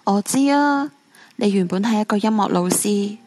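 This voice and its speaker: A woman's voice: mid-range voice, moderate pace, a little mellow, no room sound, soft background music, lightly acted. A woman with a Hong Kong Cantonese accent playing a bitter, heartbroken, stubborn young female ghost in a supernatural radio drama. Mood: cold, mocking.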